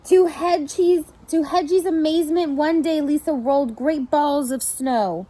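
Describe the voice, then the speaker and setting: A woman preschool teacher reading a picture book aloud to young children, in an animated storytelling style with asides to the listeners. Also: high voice. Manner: pauses to comment and question the children.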